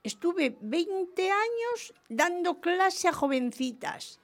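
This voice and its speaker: An actress playing a gushing, rambling ninety-two-year-old widow who calls a radio quiz, in a comic radio play. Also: female voice, extremely high pitch, big pitch swings, slow, heavily acted. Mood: reminiscing, chatty. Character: chatty, lively 92-year-old widowed former nun and teacher. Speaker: quiz show caller. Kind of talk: comic radio theatre acting.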